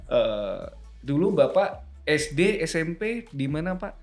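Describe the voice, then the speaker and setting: Brief: upbeat male host, a touch higher-pitched, playful and teasing, recorded in a roomy space with faint music underneath. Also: very animated, slow. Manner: joking, teasing, laughs often. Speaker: podcast host. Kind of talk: casual corporate podcast interview.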